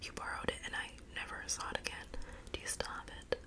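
A woman whispering softly in an ASMR roleplay as a friendly, gossipy schoolgirl classmate. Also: quick pace. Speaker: ASMR performer.

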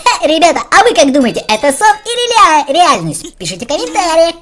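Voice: very high voice, very animated, brisk. A performer voicing a playful cartoon lion who hosts a children's game let's-play, exclaiming and talking to young viewers. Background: children's game music.

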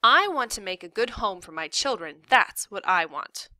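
A woman acting stern fed-up mom, storybook character, bright, lively pitch, emphatic. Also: moderate pace, no room sound. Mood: stern, impatient. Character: exasperated, firm working mother.

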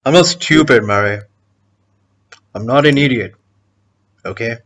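A man plays a smug male schemer in a stage monologue, with a medium-pitched voice. He is menacing and sardonic, taunting with deliberate phrasing. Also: a bit bright, close to an everyday voice. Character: smug, menacing male schemer gloating over his trapped victim. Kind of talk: theatrical monologue.

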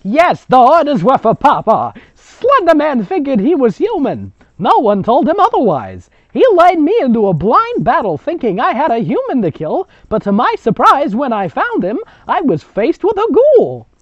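Casting: loud, high, exaggerated old granny witch reminiscing theatrically, dull lo-fi sound. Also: wildly swooping pitch, moderate pace, hardly any pauses, no room sound, full character voice. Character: elderly grandmother witch telling family stories, exaggerated.

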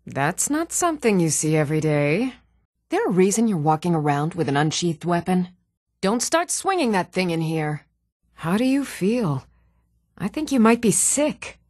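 An actress voicing an even-tempered townswoman in a fantasy video game. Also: fairly low pitch, moderate pace, no room sound, studio quality. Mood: wary. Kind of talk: video game character dialogue.

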